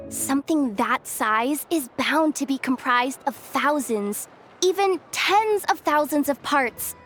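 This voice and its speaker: A woman playing an eager, excitable young working girl in a video game, gushing and breezy with a slight stammer. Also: sits high, heavily acted.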